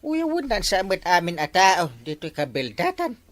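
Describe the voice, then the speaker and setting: A woman with an Ilocano accent playing an animated, hesitant village captain in a broad comedy drama, on a noisy recording. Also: fairly low pitch, moderate pace, no echo. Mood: indignant. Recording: clearly noisy recording with clicks.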